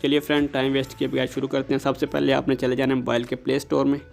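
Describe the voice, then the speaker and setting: Guy doing a tech tutorial, pretty monotone, bit of vocal fry, talks politely to viewers. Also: mid-range voice, very fast, soft background music. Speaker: host of a tech tutorial channel. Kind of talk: casual scripted-style app tutorial narration.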